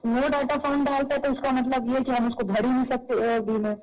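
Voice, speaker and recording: A woman's voice: sits high, level tone, fairly quick, darkish tone. A woman official explaining procedure step by step, repeating herself, on a played-back phone call recording. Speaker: government election official. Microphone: phone call recording replayed at press conference.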